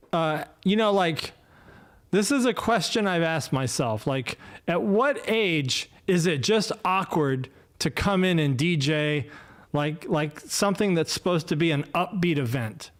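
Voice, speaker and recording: A man in his fifties hosts a loose solo livestream, slow and unhurried, his voice sitting a little high for a man. He rambles, restarts his sentences and pokes fun at himself. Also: expressive. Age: 50s. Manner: rambling, self-deprecating, many fillers and restarts. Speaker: podcast host. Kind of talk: casual solo livestream chat. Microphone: desk mic, no headphones.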